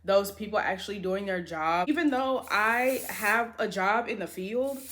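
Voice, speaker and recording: A woman's voice: medium pitch, measured pace, fairly bright tone. A woman in her twenties talking casually to camera in an upbeat, chatty way, with lots of filler words. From United States. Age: 20s. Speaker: beauty vlogger.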